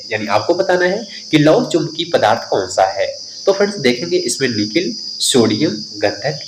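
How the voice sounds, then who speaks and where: Animated man teaching, higher-pitched voice. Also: noisy background. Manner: instructive, addresses students directly. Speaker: exam coaching teacher. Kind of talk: quiz-style lesson, reading questions and explaining answers.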